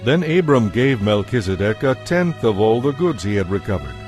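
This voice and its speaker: A man narrating scripture from a script as a solemn, measured storyteller. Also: lowish voice, big pitch swings, a little mellow, faint music behind.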